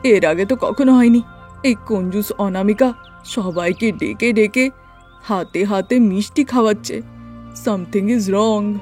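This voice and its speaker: A woman's voice: mid-range voice, a little slow, darkish tone, close to an everyday voice. A woman voicing an envious, scheming male shopkeeper in a cartoon story, talking slyly to himself. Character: scheming, envious male rival sweet-shop keeper. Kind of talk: cartoon story character acting. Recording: music behind the voice.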